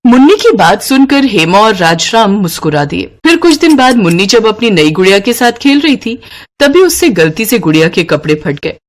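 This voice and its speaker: A woman's voice: mid-range voice, sing-song, moderate pace. A woman narrating a scripted moral story for a cartoon.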